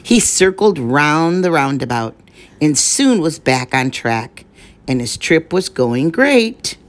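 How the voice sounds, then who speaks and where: A woman reading a children's picture book aloud, giving the rhymes a measured, expressive delivery. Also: low-pitched. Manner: measured, expressive rhyming narration. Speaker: storyteller.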